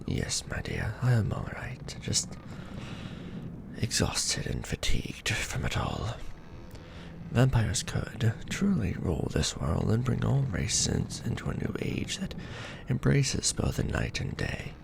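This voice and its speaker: A man voicing a weary, tender aristocratic vampire lord in an ASMR romance roleplay, murmuring softly and formally, close to the microphone. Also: fairly low pitch, wildly swooping pitch, slow, background noise, exaggerated voice. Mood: weary, idealistic. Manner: soft, breathy murmuring, formal archaic phrasing. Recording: background music bed.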